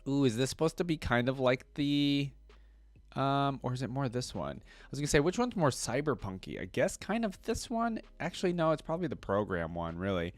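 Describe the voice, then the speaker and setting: A man's voice: medium pitch, big pitch swings, brisk, dry recording. A man hosting a reaction video, chatting casually and thinking aloud in an informal way.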